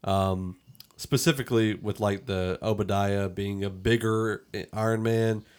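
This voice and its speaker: A man with a fairly low voice hosts a casual movie discussion. He talks conversationally and states his opinions plainly, as a podcast host among co-hosts. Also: fairly even intonation, unhurried, a little mellow, smooth.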